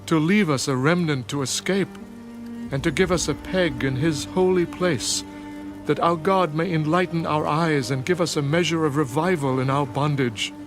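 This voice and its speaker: An actor playing a penitent Hebrew priest at prayer in a dramatised scripture reading, pleading solemnly in measured phrases. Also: male voice, fairly high pitch, close to an everyday voice. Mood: sorrowful pleading. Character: penitent male Hebrew priest praying in anguish. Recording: music behind the voice.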